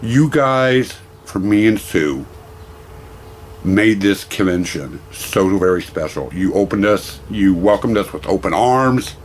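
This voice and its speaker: A hoarse, slightly raspy middle-aged man talks at length in a low voice, rambling and effusive as he recounts and thanks people. He sounds a bit worn out, and there is a faint hum of room ambience around him. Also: lively intonation, takes it slowly. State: hoarse, losing his voice. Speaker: vlogger. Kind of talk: casual vlog talk.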